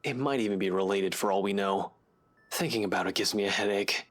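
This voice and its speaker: An actor playing a tired, protective investigator in a visual novel game, guarded, brusque and earnest. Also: male voice, on the low side, fairly quick, lightly acted. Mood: weary, guarded. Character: tired, protective adult male investigator, gruffly determined.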